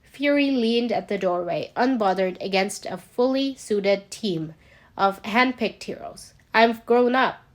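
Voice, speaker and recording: A woman's voice: mid-range voice, lively intonation, measured pace. A woman reading a scripted story aloud as an amateur audiobook narrator. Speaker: amateur audiobook reader of fan fiction.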